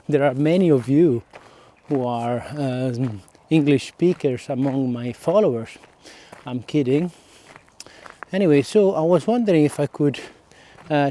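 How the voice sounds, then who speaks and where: A man with an Italian accent speaks in a slow, unhurried way, his voice sitting a little high for a man. His tone is warm and mellow. He talks hesitantly to his followers, filling pauses and tagging "okay?" onto his points. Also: slight background noise. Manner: hesitant, many fillers and "okay?" checks. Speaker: vlogger. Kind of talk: casual solo vlog.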